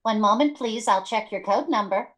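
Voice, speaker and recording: A woman's voice: mid-range voice, moderate pace, fairly bright tone, natural voice. A woman playing a formal, officious telephone operator in a radio drama, on a very noisy recording. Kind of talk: live radio drama acting.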